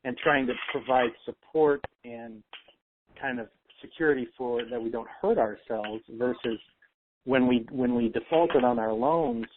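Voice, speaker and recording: A man's voice: medium pitch, expressive, measured pace, noisy background. A man hosting a casual conference-call discussion, explaining things in an earnest, conversational way. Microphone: telephone. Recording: tinny, phone-line conference call.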